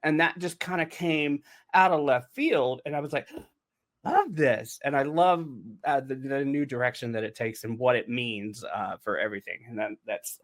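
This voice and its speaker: A man's voice: mid-range voice, normal speed. A man speaking casually and emphatically as a writer-producer hosting a podcast, often repeating words for emphasis and checking in with "right?". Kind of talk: casual behind-the-scenes podcast conversation.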